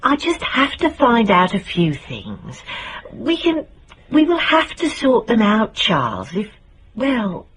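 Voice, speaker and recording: A British actress playing a lovestruck, defensive middle-aged woman investigator in a radio drama, speaking earnestly and haltingly. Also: on the high side, wildly swooping pitch, a bit bright, recorded from a distance, heavily acted. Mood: tender, earnest. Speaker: actor.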